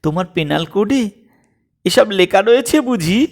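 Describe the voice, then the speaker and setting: A man's voice: high voice, animated, unhurried, voice pushed up, exaggerated voice. A man voicing a playful young wife in a comic audio story, light and flippant in her banter.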